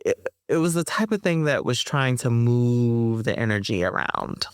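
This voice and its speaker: A woman guest and performer in a reflective interview, speaking slowly and pausing to find her words, with slangy asides. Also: very deep voice, animated, clean tone, no echo, clean studio sound.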